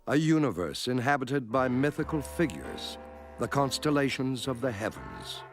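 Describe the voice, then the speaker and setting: A man giving a measured, scripted narration for an educational film, explaining things clearly step by step. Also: mid-range voice, sing-song, faint music behind. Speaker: documentary narrator.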